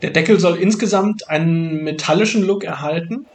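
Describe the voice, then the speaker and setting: A man's voice: a little high, normal speed. A man narrating a do-it-yourself build tutorial in a casual way. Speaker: maker narrating a build video.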